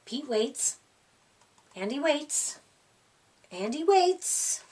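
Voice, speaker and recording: A middle-aged woman reads a children's picture book expressively, as if to small children at a library storytime. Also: sits high. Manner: animated, slow, deliberate storytelling for small children. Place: small room indoors.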